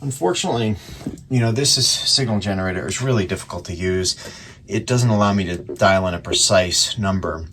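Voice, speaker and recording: A man giving a casual hands-on repair demonstration, speaking haltingly as he thinks aloud while he works. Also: low-pitched. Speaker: radio repair hobbyist and presenter. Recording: steady background noise with clicks.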